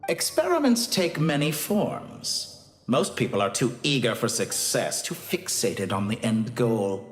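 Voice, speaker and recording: An actor playing an arrogant, calm mad scientist villain in a video game, speaking in a pompous, deliberate, theatrical way. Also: male voice, medium pitch, roomy sound, soft background music. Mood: smug, lecturing.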